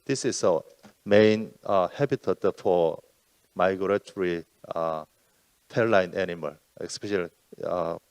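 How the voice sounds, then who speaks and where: Low-voiced man, halting panel remarks. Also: a little mellow, clean tone, no room sound. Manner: halting, many fillers and self-corrections. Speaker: UN environmental program officer.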